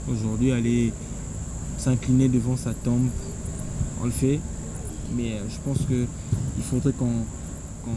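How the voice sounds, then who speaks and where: A man's voice: medium pitch, level tone, unhurried, long pauses. A man in his thirties, an interview guest, answering earnestly and restarting his phrases often. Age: 30s. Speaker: guest, son of honored journalist. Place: outdoor stadium. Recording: loud insect noise outdoors.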